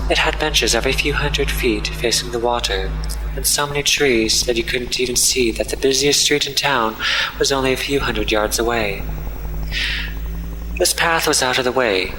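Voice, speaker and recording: A man's voice: mid-range voice, bright, forceful tone, poor audio. A man reading a scripted first-person horror story as its narrator.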